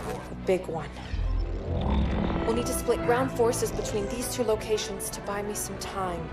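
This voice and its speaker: An actress playing a sharp, bold female military strategist in a video game, wry and self-assured. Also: mid-range voice, measured pace, close to an everyday voice. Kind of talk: cinematic video game acting. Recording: loud music over the voice.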